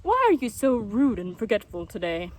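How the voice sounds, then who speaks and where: A woman's voice: mid-range voice, very animated, normal speed, close to an everyday voice, poor audio. A woman voicing a courteous male tortoise in a storybook, earnest, formal and a little smug as he encourages.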